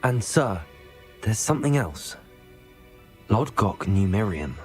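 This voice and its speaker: Earnest low-voiced young student. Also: male voice, very animated, slow pace, a little mellow, soft background music. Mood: earnest, inquisitive. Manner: polite, earnest. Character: earnest, resolute young male student wizard. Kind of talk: video game cutscene acting.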